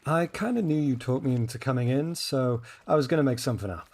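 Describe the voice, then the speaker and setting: A man's voice: mid-range voice, fast, darkish tone, close to an everyday voice. An actor playing a cautious, helpful young man in an audio drama, explaining things earnestly and easily flustered. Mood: sheepish.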